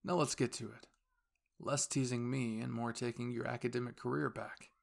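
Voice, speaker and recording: Man playing a young male student, gravelly edge, formal measured phrasing, gently reassuring, caring boyfriend, intimate audio roleplay. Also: mid-range voice, steady tone. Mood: gently chiding.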